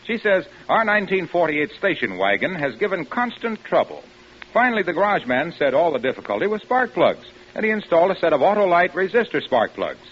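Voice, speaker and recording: A man's voice: high voice, very animated, brisk, slight background noise. A man delivering a scripted vintage radio commercial as an enthusiastic salesman. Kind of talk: scripted 1940s-50s radio advertisement. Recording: muffled old radio broadcast recording.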